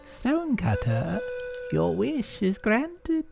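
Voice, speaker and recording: A man voicing a gleeful magic spirit from a folk tale, whispering slowly as it grants wishes. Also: high voice, huge pitch swings, creaky, over music, voice pushed up, exaggerated voice. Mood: gleeful, enchanting. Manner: gleeful, drawn-out.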